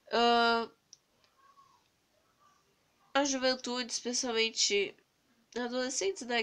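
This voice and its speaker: A young woman with a Brazilian Portuguese accent talks close into a phone camera, hoarse and congested from a cold. Her voice still sits fairly high and comes through bright and edgy. She vents earnestly and rambles, restarting her sentences as she goes. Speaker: vlogger. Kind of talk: casual vlog rant. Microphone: phone camera held close to the face.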